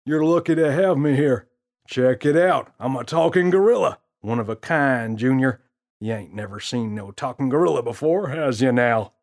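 A loud, swaggering storybook gorilla, full of himself and forever cracking jokes in lazy slang, as a male narrator puts him on. He talks big, pushy, and pleased with his own mischief, with a lively, bouncing lilt. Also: mid-range voice, moderate pace, voice pushed up, close to an everyday voice. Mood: cocky, teasing. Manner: slangy, boastful, sly jokester. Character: brash, cocky male talking gorilla prankster.

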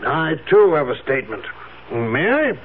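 A man's voice: fairly high pitch, very animated, measured pace. An actor playing a genial, proud old wealthy father-in-law, jovial and ceremonious, in a 1970s radio drama. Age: older. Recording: dull lo-fi 1970s radio recording with hiss.

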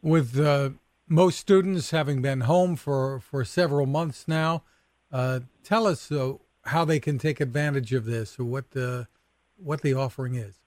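A man hosting a tech interview show, asking short, steady questions. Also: mid-range voice, measured pace, no room sound, clean studio sound. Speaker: host.

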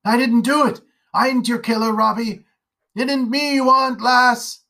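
A narrator voicing a haunted, drunken rural father who rants in a rough country dialect, raised and loud. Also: mid-range voice, a little slow. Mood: raving. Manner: rough rustic dialect, wild ranting. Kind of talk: storybook character voice.

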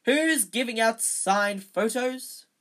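A woman voicing a vain, jovial male teacher in a storybook, booming and self-satisfied. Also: slow pace, fairly bright tone.

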